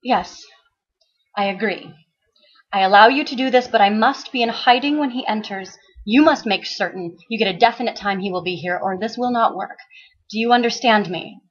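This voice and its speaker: A woman's voice: medium pitch, moderate pace, dull audio, close to an everyday voice. A woman voicing an earnest, urgent male detective in a story reading, insistent and pleading. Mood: firm, urgent. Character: earnest, urgent male private detective in old New York.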